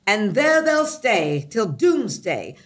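Woman acting a cantankerous guardian aunt, slow and swooping. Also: medium pitch, big pitch swings. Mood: stern. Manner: brusque, dismissive, scolding. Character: cantankerous older aunt guardian, scolding and gruff. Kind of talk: gothic comedy audio drama acting.

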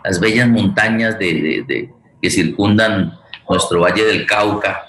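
Male Colombian host, very low register, halting conversational delivery, roomy echo. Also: fairly bright tone, a little hiss or hum. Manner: halting, searching for words. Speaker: host, theatre director and playwright. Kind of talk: conversational, rambling forum hosting.

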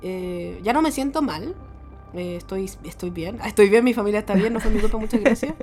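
A Chilean woman hosting a podcast in casual chat, her voice nasal and raspy while she gets over a cough, swearing freely and laughing often. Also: mid-range voice, fairly quick, music in the background. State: recovering from a cough. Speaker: podcast host.

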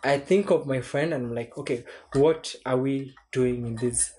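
Young guy in his 20s on a podcast, mellow soft voice, thinks out loud and restarts a lot. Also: medium pitch. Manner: reflective, speaks in measured thoughts with frequent restarts. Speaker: podcast guest. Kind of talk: casual chat.

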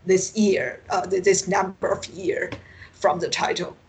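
A woman from China with a Chinese accent, a native Mandarin speaker, answering interview questions haltingly over an internet call and often searching for words. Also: lowish voice, steady tone, a little hiss or hum. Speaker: science fiction author, interview guest. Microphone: Skype call.

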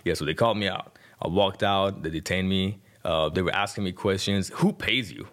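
Deep-voiced young Iranian-accented man. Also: brisk, a bit bright. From Iran. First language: Persian. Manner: colloquial, punctuated with "like" and "you know". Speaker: podcast guest, rapper. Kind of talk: conversational personal storytelling.

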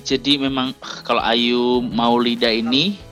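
Young male co-host, bright voice, loose casual banter, faint music under it. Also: medium pitch, little pitch movement, unhurried. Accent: Indonesian. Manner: chatty, laughs often, many fillers. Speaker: podcast co-host. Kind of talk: casual chat.

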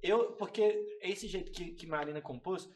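A man's voice: sits high, normal speed, a little mellow, recorded from a distance, slight background noise. Slangy Brazilian man, roomy and off-mic. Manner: laid-back, slangy storytelling. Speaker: band member, producer. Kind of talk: casual podcast interview. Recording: echoey room, off-mic.

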